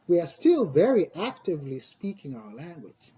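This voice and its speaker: Higher-voiced Tanzanian man, husky and mellow. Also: big pitch swings. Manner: hesitant, pausing, repeats words while explaining. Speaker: podcast guest, scholar. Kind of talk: thoughtful interview talk.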